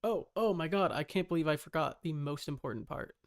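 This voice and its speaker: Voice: mid-range voice, expressive, fairly quick. A livestreamer chatting casually and muttering to themselves in a scattered, self-interrupting way.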